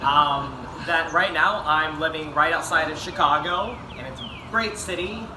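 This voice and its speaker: A man's voice: a little high, moderate pace. A young man with an American accent, chatting casually as an interview guest. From Texas, United States. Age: young adult. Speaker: interview guest, medical student. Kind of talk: casual chat. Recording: echoey, distant mic, background noise.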